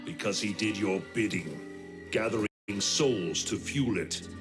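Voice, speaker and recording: A man's voice: fairly low pitch, unhurried, close to an everyday voice. Guy doing a proud angry thunder god from a video game, bossy and solemn, music going behind him. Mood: defiant. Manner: commanding, solemn, formal. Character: stern, wrathful male thunder god, proud protector of realms. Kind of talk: dramatic video game cutscene acting.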